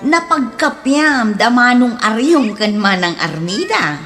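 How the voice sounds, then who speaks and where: A gentle, doting mother from a radio comedy drama. Her high voice moves slowly and with lots of melody. She sounds affectionate and easily puzzled, over slight background noise. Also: expressive, music in the background. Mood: fond, pleased.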